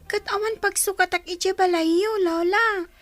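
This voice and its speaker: A woman's voice: extremely high pitch, steady tone, moderate pace, a bit bright, no room sound, soft background music. A woman with an Ilocano accent playing an anxious young child in a radio drama. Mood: anxious, pleading. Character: anxious young grandchild clinging to absent mother.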